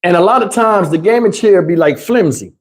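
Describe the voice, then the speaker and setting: High voiced guy on a livestream, slangy rambling chat w viewers, swears a lot. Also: animated, fairly quick. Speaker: livestream host.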